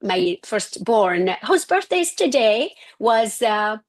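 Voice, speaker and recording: A woman's voice: on the high side, very animated, takes it slowly. A middle-aged woman from Syria with an Arabic accent, a physician telling her personal story in an interview, earnest and restarting her sentences often. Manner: earnest, with frequent restarts and self-corrections. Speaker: guest, physician.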